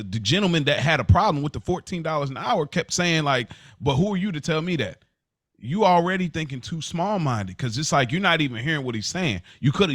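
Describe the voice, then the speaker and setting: A man's voice: on the high side, fairly bright tone, no echo. Emphatic American man, close dry sound. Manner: emphatic, assertive, repeats key points. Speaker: podcast co-host. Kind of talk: opinionated casual discussion.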